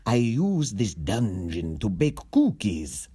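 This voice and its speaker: A man puts on a mild, kindly villain from a children's storybook. The words come out very slowly and drawn out, in a husky, mellow tone. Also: fairly low pitch, very animated, no room sound, clean studio sound, exaggerated voice. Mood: matter-of-fact. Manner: slow, drawn-out delivery.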